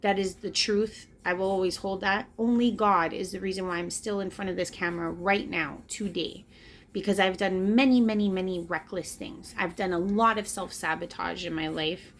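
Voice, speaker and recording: A woman's voice: medium pitch, steady tone, moderate pace, slight background noise. Earnest woman in her late thirties, confessional. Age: late 30s. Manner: earnest, confessional, emphatic repetition. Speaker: spiritual vlogger. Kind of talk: personal reflective monologue to camera. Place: indoors, in front of a camera.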